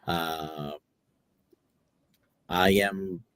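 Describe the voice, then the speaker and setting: A man from Puerto Rico, a doctor co-hosting a live stream, talking in a relaxed, conversational way. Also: sits low, very slow, smooth, dry recording, clean studio sound. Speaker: co-host, doctor. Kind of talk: casual chat on a live stream.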